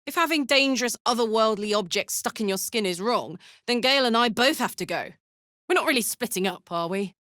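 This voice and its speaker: A woman voicing a tough, playful, warm-hearted tiefling warrior woman in a video game, talking bluntly and colloquially with plenty of swearing. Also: fairly high pitch, lively intonation, a little fast, no room sound, studio quality. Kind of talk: video game character voice lines.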